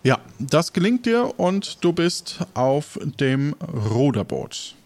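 A man's voice: on the high side, big pitch swings, takes it slowly, darkish tone. A man narrating an audio role-playing game as its host and game master. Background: music.

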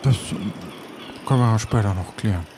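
A man's voice: on the low side, moderate pace, noisy background, natural voice. A man playing a polite pirate adventurer with a hesitant, rambling way of talking in an improvised adventure audio play. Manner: hesitant, rambling, repeating words.

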